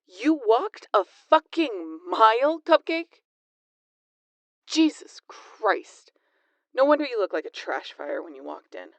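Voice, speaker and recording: A woman's voice: fairly high pitch, sing-song, slow pace, fairly bright tone, no room sound, exaggerated voice. Woman acting a sardonic, teasing vampire woman. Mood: exasperated. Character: teasing, sarcastic, foul-mouthed female vampire travelling with a hunter. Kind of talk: scripted roleplay audio drama.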